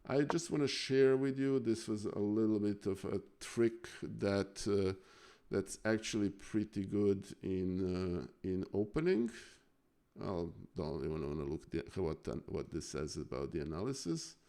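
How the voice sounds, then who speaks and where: A man talks slowly at a desk microphone, going over chess games on a live stream. His low, gravelly voice stays smooth and clear. He halts often with fillers as he thanks his viewers. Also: animated, warm tone. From Serbia. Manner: halting, many fillers, thanks chat viewers. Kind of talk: casual live stream chat and chess analysis.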